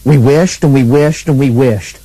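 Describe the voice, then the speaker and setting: Man as deadpan american detective, warm dark voice, wry. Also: mid-range voice, moderate pace, no room sound, natural voice, low-quality recording. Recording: radio taped to cassette, faint music.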